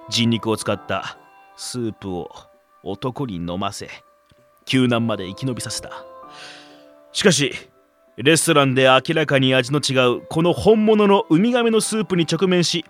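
An actor playing a gruff, playful middle-aged stranger with a quietly menacing side, talking roughly and teasingly in a radio drama. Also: male voice, mid-range voice. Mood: grave storytelling. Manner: rough colloquial speech, teasing, instructing. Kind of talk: radio drama dialogue and storytelling.

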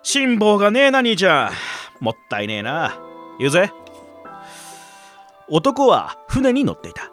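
An actor playing a gruff, playful middle-aged stranger with a quietly menacing side, talking roughly and teasingly in a radio drama. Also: male voice, high-pitched, wildly swooping pitch, a little slow, faint music behind, exaggerated voice. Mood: grave storytelling. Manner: rough colloquial speech, teasing, instructing. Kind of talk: radio drama dialogue and storytelling.

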